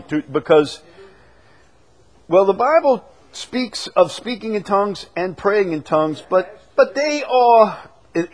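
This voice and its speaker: A man teaching a Bible study as a preacher, emphatic, moving between loud declaiming and quieter asides. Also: high-pitched. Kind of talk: teaching with questions from the congregation.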